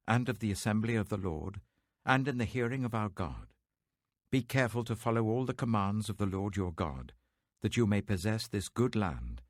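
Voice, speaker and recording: A man's voice: lowish voice, no room sound, studio quality. A British man voicing an aged, devout Israelite king who gives a solemn, weighty, fatherly address in a scripture audiobook.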